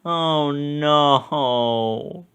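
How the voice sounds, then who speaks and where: A man's voice: on the high side, very slow, a bit bright, clean tone. A man voicing a kindly, worried small business owner in a storybook, apologetic and fretful.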